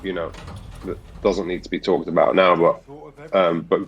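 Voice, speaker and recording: Deep-voiced man, thoughtful and halting. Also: little pitch movement, slightly rough. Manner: thoughtful, many restarts and fillers. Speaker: voice actor guest. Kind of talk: reflective interview talk. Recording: muffled lo-fi audio.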